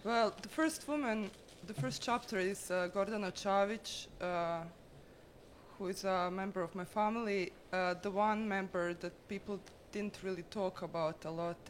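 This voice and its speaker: A woman's voice: medium pitch, normal speed. A woman giving an informal, candid artist talk about their own work to an audience. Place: exhibition room with a seated audience. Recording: noisy room with ambient sound.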